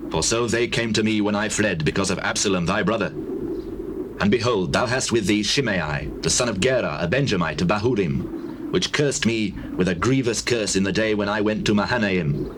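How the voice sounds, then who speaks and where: Man playing a deep-voiced, dying old king. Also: lightly acted. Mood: grim, vengeful. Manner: grave, deliberate, authoritative. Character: dying old king David, solemnly charging his son. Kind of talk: dramatized scripture, classical stage acting. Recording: noisy, hissy old cassette tape.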